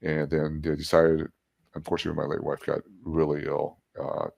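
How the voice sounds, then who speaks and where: Older man, 70s, low and gravelly, easygoing storyteller who jokes about himself. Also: monotone, normal speed, a little mellow. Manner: chatty, self-deprecating, laughs at his own jokes. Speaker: guest. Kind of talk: casual storytelling interview.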